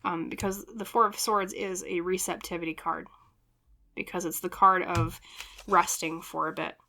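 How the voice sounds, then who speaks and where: A woman's voice: lowish voice, fairly even intonation, moderate pace, bright, forceful tone. A woman hosting a tarot reading in a casual, conversational way, talking reflectively and ramblingly straight to her viewers. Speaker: tarot reader and channel host.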